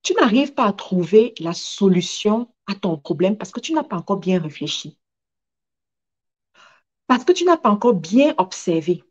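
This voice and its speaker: A woman's voice: medium pitch, very animated, moderate pace, a little mellow, dull audio. A woman giving a motivational talk in an emphatic manner, repeating phrases for effect and drawing on personal stories. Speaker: motivational speaker and businesswoman.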